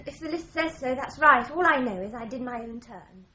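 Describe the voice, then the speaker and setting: A fussy, naive young British secretary in a radio drama. Her voice is high and bright, earnest and plaintive. It sounds roomy. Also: expressive, normal speed, muffled recording. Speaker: actor in a radio crime drama.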